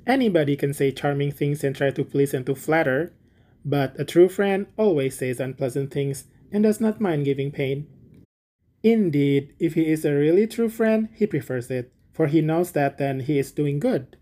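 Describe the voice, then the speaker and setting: A man's voice: on the high side, animated, moderate pace, warm tone, natural voice. A man voicing a smug, sanctimonious miller in a storybook, pompous and preachy in his delivery. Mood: preachy, reproachful. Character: smug, sanctimonious, self-serving male miller. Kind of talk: storybook character voice.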